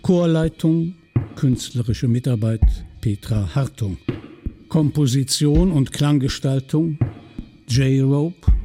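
A man narrating and announcing a radio drama in a solemn, measured way with emphatic pauses. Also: medium pitch, mellow. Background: faint music.

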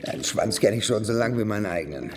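An actor playing a crude, world-weary ex-convict who grumbles and teases in a radio drama. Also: male voice, fairly low pitch, lively intonation, fast, close to an everyday voice. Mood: relaxed, weary. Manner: coarse, grumbling banter.

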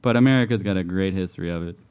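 Wisecracking man with a smooth voice. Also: low-pitched, racing, darkish tone, highs cut off. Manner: joking, wisecracking. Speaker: radio show co-host. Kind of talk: casual banter.

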